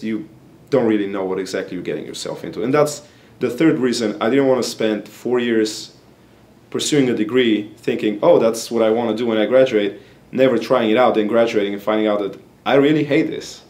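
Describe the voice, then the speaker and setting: Young bulgarian-born man, grad student, low-pitched, earnest, explains with uh fillers, small plain office, close camera. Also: brisk, a little mellow. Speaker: graduate student. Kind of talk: interview answers.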